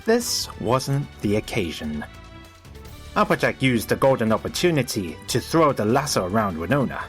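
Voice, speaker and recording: A man's voice: medium pitch, very animated, moderate pace, faint music behind. A man narrating a scripted story in a storybook style.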